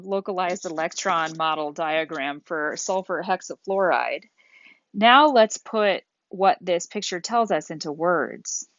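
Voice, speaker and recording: A woman lecturer explaining material methodically and deliberately, step by step, as she thinks aloud. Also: fairly low pitch, no room sound, lo-fi sound. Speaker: chemistry lecturer.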